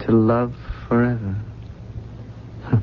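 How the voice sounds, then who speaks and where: An actor playing a gentle, wistful man who has lost his past, speaking haltingly in a 1970s radio drama. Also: medium pitch, flat delivery, close to an everyday voice. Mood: wistful, tender. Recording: dull lo-fi radio recording, noisy.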